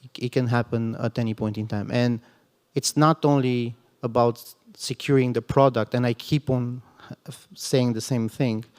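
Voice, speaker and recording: A man's voice: mid-range voice, fairly even intonation, moderate pace, a little mellow, clear, resonant tone. Earnest man on a reverberant panel stage. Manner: earnest, emphatic, many "you know" asides. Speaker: security panelist. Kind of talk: conference panel answer. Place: conference hall panel stage. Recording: long hall reverb.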